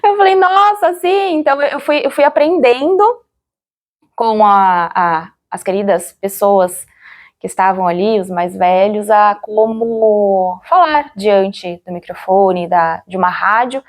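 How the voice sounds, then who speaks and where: A woman with a Brazilian accent and a mid-pitched voice speaks as an interview guest. She recounts things reflectively, pausing to find the right word and adding small tags that seek agreement. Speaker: interviewee, former radio drama actor. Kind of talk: interview, personal recollection.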